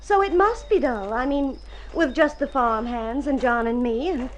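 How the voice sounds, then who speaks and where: An actress as high-voiced artless country girl. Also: normal speed, natural voice, poor audio. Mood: wistful, shy. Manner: artless, wistful, self-deprecating. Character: sweet, modest young mountain farm girl. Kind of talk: vintage radio drama acting. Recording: old radio recording with some noise.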